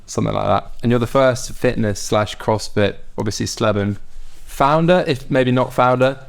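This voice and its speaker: A man's voice: medium pitch, moderate pace, creaky, clear, resonant tone. A man in his twenties hosting a podcast in a blunt, sweary, casual chat style. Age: 20s. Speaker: podcast host.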